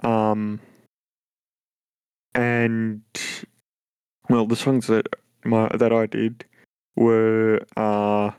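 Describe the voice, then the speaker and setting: A young man with a very deep voice, chatting casually as a podcast co-host and talking in halting, hesitant phrases full of restarts. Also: completely flat delivery, darkish tone, smooth, no room sound. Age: young adult. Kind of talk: casual chat.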